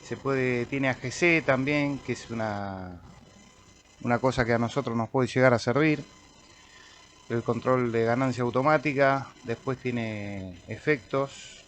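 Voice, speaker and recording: A man walks through a software tutorial at home, speaking slowly and thinking aloud as he clicks through menus. His voice sits in a middle register over a faint hiss from the computer microphone. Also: mid-range voice. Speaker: radio amateur demonstrating audio software. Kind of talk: screen-recorded software tutorial.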